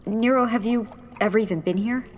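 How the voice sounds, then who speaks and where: A woman's voice: fairly high pitch, a little fast, slight background noise, close to an everyday voice. Woman playing a determined rebel engineer. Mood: focused. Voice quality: earnest, takes charge, explains plans. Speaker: rebel leader. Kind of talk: sci-fi audio drama acting. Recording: loud music over the voice.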